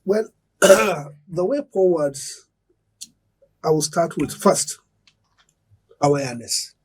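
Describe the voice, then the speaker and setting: High-pitched, husky Nigerian man. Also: lively intonation, slow pace. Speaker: education expert guest. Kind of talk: broadcast interview, explanatory.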